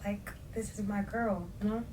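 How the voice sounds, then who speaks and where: A woman's voice: medium pitch, fairly even intonation, normal speed, a little mellow. A young woman hosting a casual podcast chat, her voice strained and worn, talking in slangy, laughing bursts. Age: young adult. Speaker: podcast host. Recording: distant mic with faint noise.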